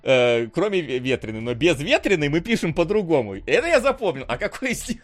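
A man's voice: on the high side, big pitch swings, fairly quick. A man co-hosting a casual film discussion podcast, rambling with many fillers and restarts and laughing often. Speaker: podcast co-host.

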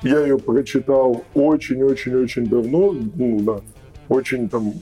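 A man's voice: medium pitch, unhurried, dark tone, breathy edge, faint music behind. A man with a strained voice speaking as a podcast guest, giving hesitant, reflective answers that circle around the point. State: voice strained after losing it the day before. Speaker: podcast guest, professional trainer. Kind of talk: reflective interview talk.

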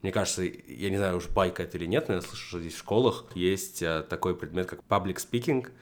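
A man's voice: low-pitched, brisk. A young man in a casual conversation, explaining his points in a structured way with frequent fillers. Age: young adult. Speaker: podcast guest.

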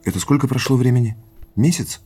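An actor playing an easygoing, laconic boyfriend in a naturalistic audio drama, with an understated delivery. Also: male voice, medium pitch, brisk, warm tone, close to an everyday voice. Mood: surprised curiosity. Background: music underneath.

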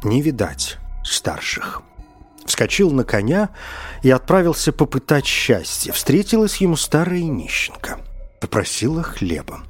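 Expressive male storyteller with swooping intonation. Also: fairly high pitch, measured pace, husky, soft background music. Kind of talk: scripted fairy-tale narration.

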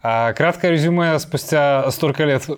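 A man's voice: a little high, unhurried, smooth. A man hosting a conversational interview in a chummy, playful manner. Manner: chummy, playful, addresses guest familiarly. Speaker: podcast host interviewing a guest.

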